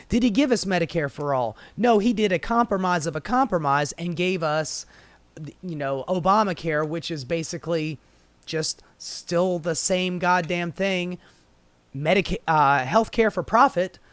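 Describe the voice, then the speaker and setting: A man's voice: high voice, no echo. An American man giving casual, opinionated news commentary in a sardonic, exasperated, sweary manner. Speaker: political commentator.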